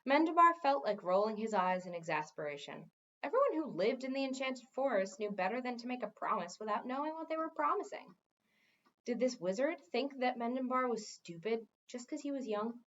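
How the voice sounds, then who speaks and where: Animated woman reading a story aloud. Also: a little high, brisk, muffled recording. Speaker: read-aloud teacher. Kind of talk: expressive storybook narration.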